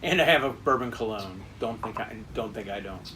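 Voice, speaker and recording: A man co-hosting a tasting show with casual, deadpan joking banter. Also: fairly low pitch, brisk, a little hiss or hum.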